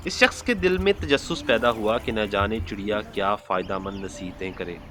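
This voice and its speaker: A man's voice: fairly low pitch, normal speed, noisy background. A man narrating a moral story as a channel host, earnest and explanatory in manner.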